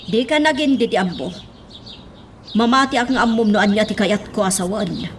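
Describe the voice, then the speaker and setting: A woman's voice: mid-range voice, normal speed, a little hiss or hum, close to an everyday voice. An actress playing a troubled middle-aged woman in a radio drama, probing, with a Filipino accent. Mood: probing, pleading.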